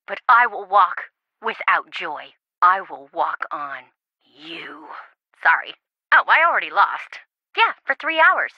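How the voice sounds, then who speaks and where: A woman voicing a quirky, sarcastic, excitable young reality-show contestant in a video game, with playful theatrical quips. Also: lowish voice, takes it slowly, dry recording, highs cut off, full character voice. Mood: animated, quippy.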